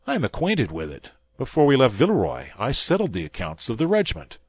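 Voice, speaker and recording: A man voicing a grave, dignified musketeer who speaks in a measured, stern way. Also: medium pitch, huge pitch swings, husky, dry recording, voice pushed up.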